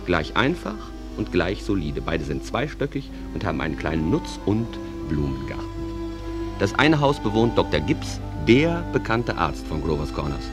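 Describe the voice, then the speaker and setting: A genial stage manager speaks straight to the audience in a low voice. He rambles conversationally and without hurry, as in a radio play, with prominent music behind him. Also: big pitch swings. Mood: leisurely, genial. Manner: conversational, unhurried, digressive. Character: genial stage manager introducing a small town. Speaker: narrator. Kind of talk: theatrical narration addressed to the audience.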